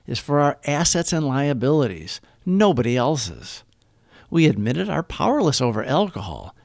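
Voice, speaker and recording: A man narrates a recovery meditation from a script. His pitch moves in lively swings while his phrasing stays deliberate and measured. The sound is dry and close, with little room around it. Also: no echo. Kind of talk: scripted read-aloud of daily meditation and reflection.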